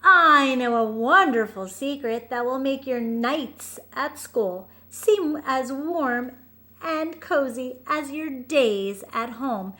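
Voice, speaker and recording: A gentle mother raccoon, voiced by a woman reading a storybook, talks in a high, soothing voice. She takes her time over every phrase, reassuring and tender. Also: takes it slowly, heavily acted. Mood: gently encouraging. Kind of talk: picture book read-aloud.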